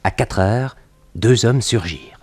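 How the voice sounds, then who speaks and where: An actor serving as narrator of a 1960s French radio detective serial, reading scripted storytelling passages. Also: male voice, mid-range voice, measured pace, darkish tone, slightly rough, faint music behind.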